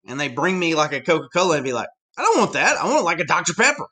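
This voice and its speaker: A middle-aged man with a Southern American accent lectures a class. His voice is bright and lively, with a chatty, animated delivery that leaps in pitch. He is a little higher-voiced than most men. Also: quick pace. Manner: chatty, animated, reacts with surprised interjections. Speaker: teacher.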